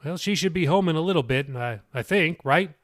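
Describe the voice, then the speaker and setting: A man's voice: a little high, lively intonation, a little fast, no echo, studio quality, natural voice. A man voicing a genial, joking middle-aged dad in an audiobook. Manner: folksy, sheepish humor. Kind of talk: audiobook character dialogue.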